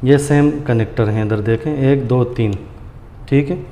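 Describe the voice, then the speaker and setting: A man hosts a casual how-to, talking viewers through each step as friends. His voice is soft-edged and dark in tone. It sounds recorded on a camera mic in a hard, echoey room, with faint noise behind him. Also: medium pitch, measured pace. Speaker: tutorial host. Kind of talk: casual hands-on installation tutorial. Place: indoors in a tiled room, hands at a granite counter.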